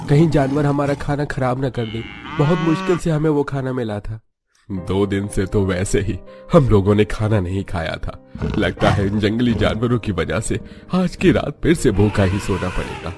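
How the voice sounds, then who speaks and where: Poor cartoon family man, male actor: average pitch, worried, earnest, pleading. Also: normal speed, music in the background, natural voice.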